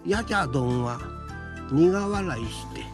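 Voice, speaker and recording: A man reading a scripted folktale in a measured, deliberate storytelling manner with pauses. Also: on the high side, lively intonation. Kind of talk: scripted Japanese folktale narration. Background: background music.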